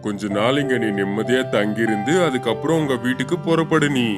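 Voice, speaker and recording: A man's voice: medium pitch, brisk, lightly acted. A kindly eagle voiced by a man speaks as a protective older brother. His manner is hospitable and caring, in cartoon fable style, with music behind. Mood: welcoming. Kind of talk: Tamil moral-story cartoon dub.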